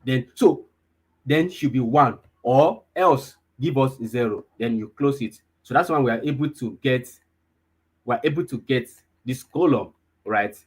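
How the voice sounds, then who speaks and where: A man's voice: mid-range voice, measured pace, breathy edge. A man, teaching a live online data class, repeating himself and often checking whether listeners follow. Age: 20s. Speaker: data analysis instructor.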